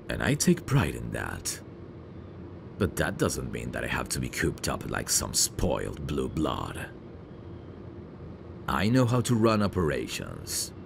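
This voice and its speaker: Man playing very deep, gravelly suave mafia heir. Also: background noise, full character voice. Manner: smooth-talking, courtly, flirtatious. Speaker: voice actor. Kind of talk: ASMR roleplay, intimate one-sided dialogue.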